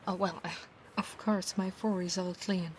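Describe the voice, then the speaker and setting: A proud, battle-hungry centaur knight played by a woman speaks with an Italian accent straight to the listener. Her voice sits at a middle pitch, soft and formal, with breathy whispers in an ASMR roleplay. Noticeable ambient noise runs behind her. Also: steady tone, a little slow, close to an everyday voice. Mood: calm, proud. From Italy. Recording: clear background noise, ambience.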